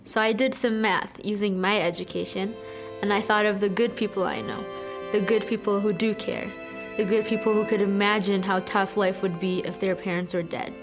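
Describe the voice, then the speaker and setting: A woman's voice: medium pitch, fairly even intonation, moderate pace. Earnest schoolgirl narrating over guitar. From Ethiopia. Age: teens. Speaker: student. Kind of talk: scripted video narration. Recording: muffled, over guitar music.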